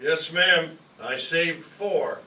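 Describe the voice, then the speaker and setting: A man playing a blustering, excitable family father with folksy bad grammar in an old-time radio comedy. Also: fairly high pitch, very slow, exaggerated voice. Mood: sheepish. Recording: roomy, distant stage pickup with faint noise.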